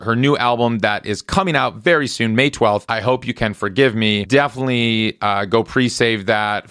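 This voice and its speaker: A hoarse man hosting a podcast, introducing the episode in an upbeat, promotional way. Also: medium pitch, fairly bright tone, dry recording, studio quality. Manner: upbeat, promotional, quick asides. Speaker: podcast host.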